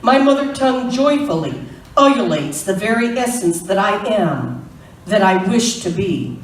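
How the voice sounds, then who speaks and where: A woman's voice: medium pitch, big pitch swings, unhurried. A woman performing a spoken-word poem at a live reading, with rhythmic, emphatic delivery that builds through long lists. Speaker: poet. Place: small venue at a poetry reading. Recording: echoey room, mic at a distance.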